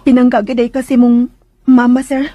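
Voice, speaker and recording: A woman's voice: a little high, little pitch movement, moderate pace, warm tone, lightly acted. A woman playing a chatty, deferential young maid with a Filipino accent in a radio drama, hesitant and whiny as she pleads. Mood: chatty, earnest.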